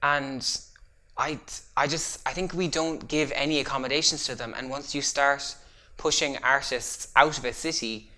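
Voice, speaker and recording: Casting: young woman, bright tone, earnest, reflective. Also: extremely low pitch, steady tone, normal speed. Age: young adult. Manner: earnest, thoughtful. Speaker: youth theatre member. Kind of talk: reflective documentary talk.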